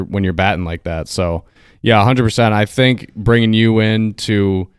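Low, smooth-voiced male podcast host. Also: no echo, clean studio sound. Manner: easygoing, agreeable banter. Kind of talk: casual podcast chat.

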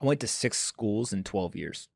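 A man's voice: lowish voice, animated, moderate pace, creaky, dry recording. A man in his forties hosting a podcast, talking loosely with slang and jokes. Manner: loose, joking, informal slang. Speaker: podcast host. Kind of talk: casual conversational interview.

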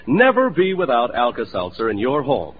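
A man's voice: medium pitch, wildly swooping pitch, moderate pace, slightly rough. A male announcer delivering a folksy, emphatic 1940s radio commercial on an old lo-fi recording.

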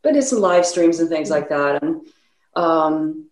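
A woman's voice: sits low, animated, measured pace, a little mellow, echoey room, poor audio. A woman who grew up in Sweden, with a slight Swedish accent, talking casually as a musician guest in an interview and rambling with many fillers.

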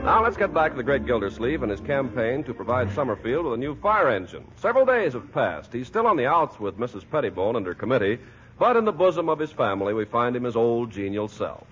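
Old time radio announcer guy, husky, very animated pitch, muffled recording. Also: fairly quick, faint music behind. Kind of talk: 1940s radio advertising announcing.

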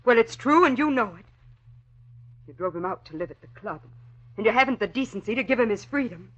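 A woman's voice: fairly high pitch, expressive, brisk. A muffled vintage radio recording of a headstrong young woman of eighteen. She speaks defiantly and sharply, a rebellious society daughter in old-time melodrama style. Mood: angry, defiant. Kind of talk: 1940s radio drama acting.

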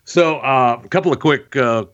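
A man's voice: mid-range voice, moderate pace, a bit bright, muffled recording. A man hosting a podcast, chatting casually through news headlines with a joking, mock-dramatic manner and many fillers. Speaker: podcast host.

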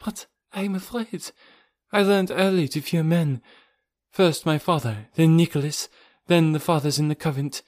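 A gentle, frightened young noblewoman, as a male narrator puts her on, her voice lifted high and soft with pleading. The reading sounds close and dry, with no room around it. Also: moderate pace, no echo, natural voice. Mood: fearful. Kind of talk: audiobook reading.

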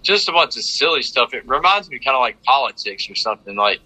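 A man's voice: mid-range voice, sing-song, fast, pushed and bright, poor audio. A man with a North Carolina Southern accent chatting casually about sports, rambling and repeating phrases for emphasis. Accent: North Carolina Southern American. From North Carolina, United States. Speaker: sports fan panelist.

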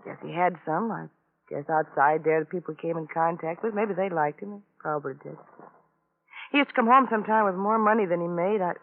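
An actress playing a weary, emotionally numb widow in an old-time radio drama, detached and halting in her phrasing. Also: lowish voice, a little hiss or hum, lightly acted. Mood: numb, bitter. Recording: tinny, narrow-band vintage radio recording.

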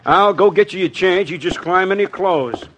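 A man plays a slick American huckster with a fairly high voice. He wheedles and flatters in slangy patter, 1950s radio comedy style, on a slightly noisy old recording. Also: moderate pace, lightly acted. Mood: pushy selling. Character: fast-talking, slippery huckster salesman, coaxing and flattering.

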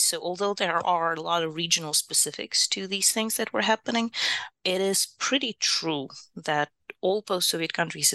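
A woman's voice: fairly low pitch, pushed and bright, no room sound. A woman in her thirties from Ukraine, answering interview questions thoughtfully with fillers and restarts. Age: 30s. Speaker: guest author, architectural historian.

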